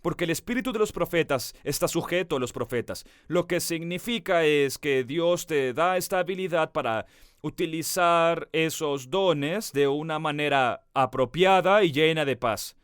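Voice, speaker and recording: A man preaching a sermon as pastor. He speaks earnestly, talks straight to the listener and slows down to stress key words. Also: a little high, fairly bright tone, clear, resonant tone, no room sound, clean studio sound. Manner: addresses the listener directly.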